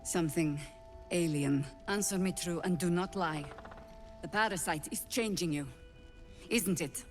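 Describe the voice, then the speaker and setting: An actress playing a battle-worn, wary elder druid leader in a video game, speaking in a measured, wry, grave way. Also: female voice, on the low side, faint music behind, lightly acted. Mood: suspicious, probing. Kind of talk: dramatic video game dialogue.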